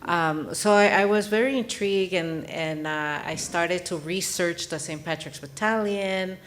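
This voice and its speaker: A woman's voice: fairly low pitch, unhurried. A woman from Mexico, a native Spanish speaker, speaking as an author guest at a live panel in an earnest, reflective way with frequent restarts. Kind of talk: panel discussion.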